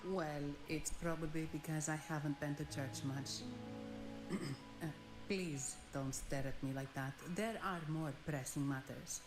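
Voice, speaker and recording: A woman's voice: deep voice, steady tone, a little slow. A woman playing a composed, businesslike young investigator in a video game, formal and matter-of-fact. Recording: echoey voice under loud music.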